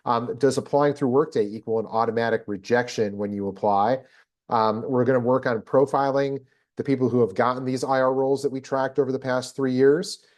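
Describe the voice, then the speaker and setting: A man hosting a business data presentation, explaining figures in a measured, analytical way. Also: medium pitch, fairly even intonation, a little mellow. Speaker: host presenting a job market report.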